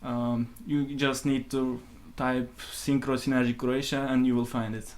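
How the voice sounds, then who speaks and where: A young adult hosts a podcast casually. The voice sits at a middle pitch, addressing viewers and guest in an informal live-stream style. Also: level tone, measured pace. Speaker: podcast co-host.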